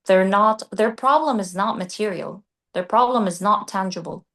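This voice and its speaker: A woman who grew up in Qatar, co-hosting a podcast and discussing ideas in a thoughtful, measured way. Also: medium pitch, fairly bright tone. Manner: thoughtful, measured, restarts mid-phrase. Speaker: podcast co-host. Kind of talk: casual discussion.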